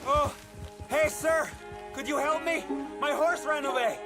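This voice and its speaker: An actor playing a polite, excitable, hapless stranger in a western game, pleading and effusive. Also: male voice, sits high, takes it slowly, music in the background. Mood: panicked. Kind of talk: western video game acting.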